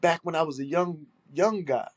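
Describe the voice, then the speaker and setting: A man's voice: fairly high pitch, moderate pace, husky. A man with a stuffy nose, hosting a solo podcast and talking in an aggrieved, emphatic way, repeating his points and swearing. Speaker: podcast host.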